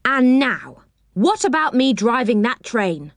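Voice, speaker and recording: A woman voicing an eager, bossy young boy in a storybook, exclaiming with excitement. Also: high-pitched, moderate pace, dry recording, pitched above the performer's own voice.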